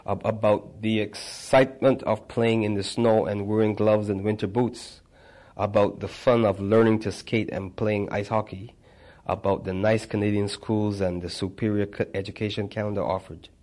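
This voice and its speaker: A man reading a personal memoir aloud, measured, with small stumbles and restarts. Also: on the low side, flat delivery, a little mellow. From Barbados. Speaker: narrator.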